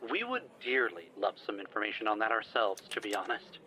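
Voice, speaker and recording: A man's voice: lowish voice, sing-song, fairly quick, sharp tone. An actor playing a flustered, formal older male scientist in an audio drama, speaking wordily with nervous hesitations. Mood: hesitant.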